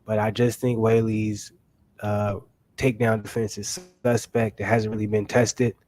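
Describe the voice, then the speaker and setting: Man, late twenties, host, casual, laid-back, lowish voice. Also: flat delivery, measured pace, a little mellow. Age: late 20s. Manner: laid-back, chuckles between remarks. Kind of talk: casual chat.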